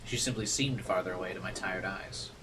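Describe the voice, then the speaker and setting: A man's voice: deep voice, a little fast, far from the mic, a crowd in the background. A man streaming a game, switching between casual commentary and reading the narration aloud. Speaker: streamer playing a visual novel.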